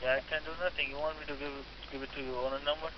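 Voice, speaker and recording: A male store worker speaks quickly on a phone call, with non-native grammar and a hesitant, resistant manner. His pitch is average, and the line is dull with clear hiss. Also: flat delivery. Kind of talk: phone conversation.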